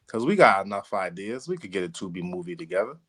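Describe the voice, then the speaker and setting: A man's voice: sits low, sing-song, quick pace, a bit bright, breathy edge. A man hosting a podcast in casual, slangy, joking group banter. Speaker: podcast host.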